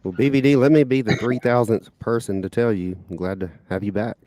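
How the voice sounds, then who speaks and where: A man's voice: fairly low pitch, steady tone, brisk, dark tone, dry recording. A man co-hosting a casual livestream chat, easygoing and teasing. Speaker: co-host.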